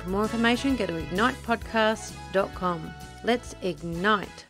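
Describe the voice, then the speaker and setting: A woman's voice: medium pitch, measured pace, music in the background. A woman hosting an interview podcast, introducing her guest and putting questions to them.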